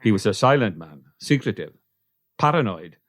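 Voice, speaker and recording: Awkward Welsh farmer, played by a man, low and plain-spoken, dryly recorded radio comedy. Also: very animated, moderate pace, dry recording. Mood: hesitant, subdued. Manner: hesitant, matter-of-fact. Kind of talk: comic radio play acting.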